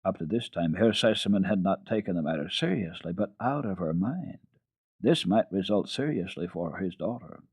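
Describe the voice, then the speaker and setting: A man's voice: deep voice, lively intonation, normal speed, darkish tone, slightly rough, no echo, studio quality. A man narrating classic children's literature dramatically, as a storyteller on a radio program.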